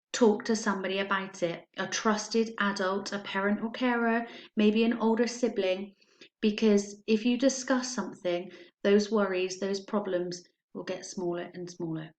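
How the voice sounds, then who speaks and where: A woman's voice: medium pitch, darkish tone. A woman, a primary school teacher, reads a children's story aloud and talks to pupils, explaining simply and stressing words expressively. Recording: echoey, roomy sound.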